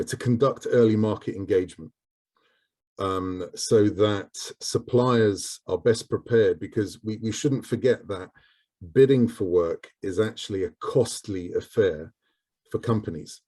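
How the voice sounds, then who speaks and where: Man, low mellow voice, hesitant, lots of ums, video call panel. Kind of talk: webinar panel answer.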